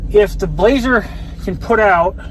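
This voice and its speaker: American guy, kinda high voice, rambly grumbly vlog talk, resigned, bit of car noise behind him. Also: measured pace, low-quality recording. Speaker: vlogger.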